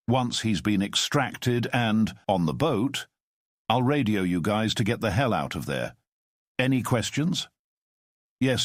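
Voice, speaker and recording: A man voicing a calm, commanding middle-aged former spy who speaks in a measured, formal way. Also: fairly low pitch, dry recording, clean studio sound. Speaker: storyteller. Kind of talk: audio drama storytelling.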